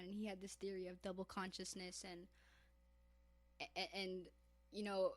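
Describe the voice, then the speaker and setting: A woman's voice: medium pitch, fairly bright tone, dry recording. Young woman podcast co-host, reflective casual talker, dry recording. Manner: reflective, builds ideas at length. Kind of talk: casual chat.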